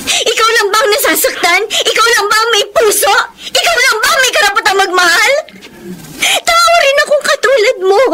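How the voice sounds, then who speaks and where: An actress playing an impassioned, defiant mistress who pleads emotionally in a Tagalog radio drama. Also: female voice, very high-pitched, bright, forceful tone, a little hiss or hum, full character voice. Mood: tearful, pleading. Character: impassioned mistress defending her love for a married man.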